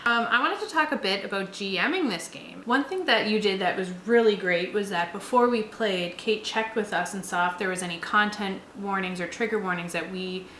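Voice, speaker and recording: Bright-voiced woman chatting in an echoey room. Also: mid-range voice, brisk, few pauses. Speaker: co-host and player reviewing a tabletop roleplaying game. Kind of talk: casual conversational review. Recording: roomy echo, faint background noise.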